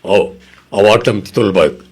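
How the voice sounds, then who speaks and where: A man's voice: on the low side, unhurried, breathy edge. A man with an Ilocano accent playing a money-minded jeepney owner, a casual and self-interested boss in a comedy radio drama. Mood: dismissive.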